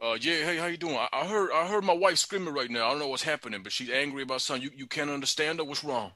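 A man's voice: on the high side, very fast, sharp tone, no room sound. A man on a phone call speaking in slang, teasing the other caller and stammering often.

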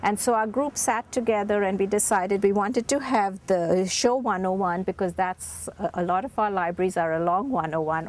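Woman, 40s, library staffer, upbeat storyteller outdoors, mid-pitched voice. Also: a little mellow. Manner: enthusiastic, storytelling. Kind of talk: interview. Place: outdoors beside a bookmobile.

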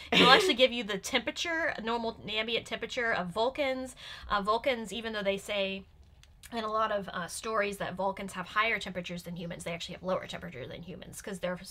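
A young woman chatting casually and enthusiastically in a rambling, animated way, like a nerdy fan. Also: medium pitch. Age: young adult. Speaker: guest commentator and Star Trek fan. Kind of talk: casual reaction chat. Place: small cosy furnished room indoors.

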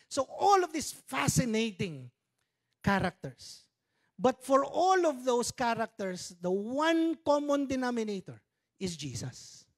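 Filipino pastor with a handheld mic. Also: male voice, extremely high pitch. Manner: conversational, mixing in Tagalog asides and jokes. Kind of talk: sermon. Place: church stage with dark curtains.

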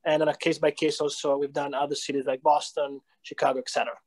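Brief: thirties business founder, Italian accent, hesitant, pitched slightly high, over a video call. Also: male voice, level tone, fast, darkish tone. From Italy. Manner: hesitant, many fillers and restarts. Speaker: real estate company founder. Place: small room indoors.

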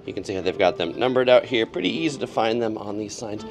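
A man in his forties giving a casual walking tour of a cruise ship, talking in a relaxed way with frequent fillers as he lists what he sees. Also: fairly low pitch, fast. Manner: relaxed, with frequent ums and listing items. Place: aboard a cruise ship, indoor and outdoor decks. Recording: clear music under the voice.

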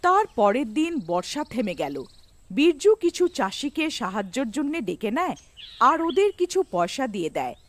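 A woman's voice: high-pitched, big pitch swings, moderate pace. A woman narrating a scripted cartoon story as its storyteller.

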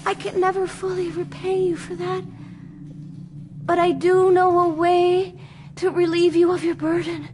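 High-voiced mystical woman medium, slow. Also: little pitch movement, a little mellow. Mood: relieved, fervent. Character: mystical female spirit medium, intense and theatrical. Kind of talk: live-action video game cutscene acting. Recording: clearly noisy backdrop.

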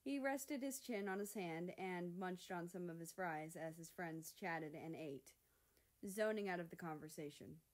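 A woman's voice: lowish voice, unhurried. A woman reading scripted fiction aloud as a story narrator. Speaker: fan fiction reader.

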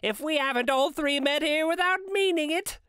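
A man's voice: moderate pace, bright, forceful tone, no room sound, clean studio sound. A man voicing a brash, callous charwoman in a storybook reading, blunt and defiant. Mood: brazen. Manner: shrill, defiant, working-class bluntness. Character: brash, callous old charwoman selling stolen bedclothes.

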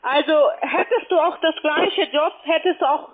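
A woman's voice: high voice, normal speed. Brash, taunting woman on a phone line. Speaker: call-centre phone scammer. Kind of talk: argumentative phone conversation. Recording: band-limited phone-line sound.

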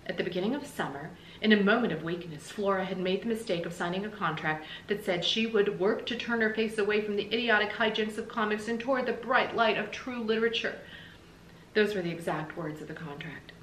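A middle-aged American woman reading a children's story aloud in an animated, emphatic, explanatory way, like a teacher. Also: mid-range voice, fairly quick. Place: small indoor room with bookshelves. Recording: roomy echo, faint background noise.

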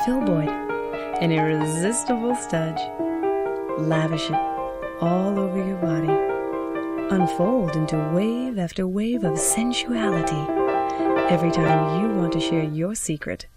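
A woman's voice: fairly low pitch, takes it slowly, long pauses. A woman playing a sultry, seductive perfume announcer in a parody radio ad. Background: loud piano music.

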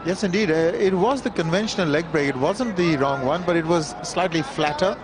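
A man's voice: on the high side, brisk. A male cricket commentator describing play and replays on live TV. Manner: exclaims at wickets, then explains calmly. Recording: loud crowd and noise, outdoor broadcast. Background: loud crowd and ground noise.

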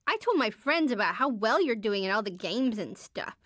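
A woman's voice: fairly high pitch, big pitch swings, normal speed, fairly bright tone, dry recording. A woman playing a shy, wry teenage superhero girl in a video game, hesitant and understated, with dry earnestness. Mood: shy, friendly. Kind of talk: video game character voice lines.

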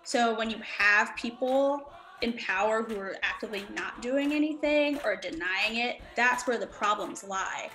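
Brief: woman climate activist, earnest, slightly high voice, echoey room. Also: measured pace. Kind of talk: documentary interview. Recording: roomy echo, soft music behind.

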